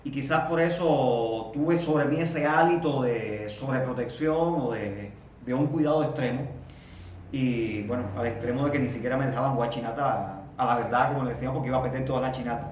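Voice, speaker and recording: A Cuban man in his thirties is heard from some distance in a very echoey room, with faint noise in the background. His pitch sits in the middle for a man. He speaks in a halting, reflective way as an interview guest, often repeating words before moving on. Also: a little mellow. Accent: Cuban Spanish. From Cuba. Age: 30s.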